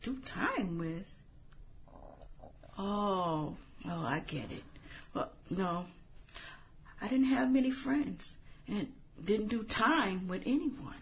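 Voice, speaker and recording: A woman playing an earnest, idealistic, reserved filmmaker in a satirical radio drama, speaking in a measured, explanatory, slightly formal way. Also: mid-range voice, expressive, slight background noise. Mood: reserved, friendly.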